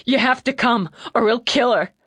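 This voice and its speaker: A man's voice: very high voice, moderate pace, pushed and bright, voice pushed up. A woman voicing a skinny young man in his late twenties, crying and breathless, his words tumbling over each other. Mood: sobbing panic. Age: late 20s.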